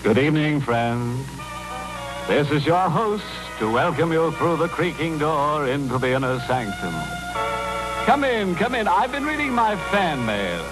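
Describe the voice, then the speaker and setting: An actor playing a sinister, gleefully macabre horror-show host in an old radio recording, slow and mock-cordial. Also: male voice, on the high side, big pitch swings, over loud music, poor audio. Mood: ghoulishly welcoming. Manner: slow, mock-cordial, savoring morbid jokes. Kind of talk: 1940s radio horror hosting.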